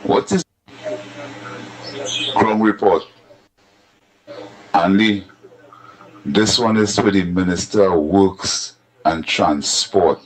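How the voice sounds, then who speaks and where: A low-voiced Trinidadian man delivers a call-in rant in a voice note. He is insistent and emphatic, hammering the same point again and again. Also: fairly even intonation, slow, pauses often, low-quality recording. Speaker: caller in a voice note.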